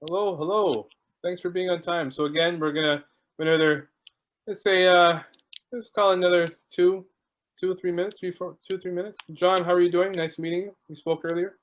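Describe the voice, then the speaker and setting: A man's voice: sits high, fairly even intonation, moderate pace. A man hosting an online video call, chatting casually and greeting people one by one while he jokes about stalling. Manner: chatty, joking, warm greetings to each arrival. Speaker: host.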